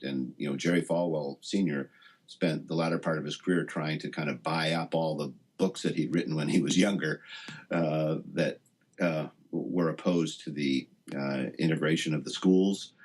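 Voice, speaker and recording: A man, a professor being interviewed, explaining things conversationally and haltingly as he thinks aloud. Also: rumbling low, husky. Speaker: interview guest, professor of science and religion.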